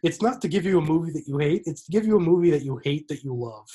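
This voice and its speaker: A man's voice: fairly high pitch, animated, quick pace, warm tone, breathy edge. A man co-hosting a movie podcast in casual chat, dry and teasing. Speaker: podcast co-host.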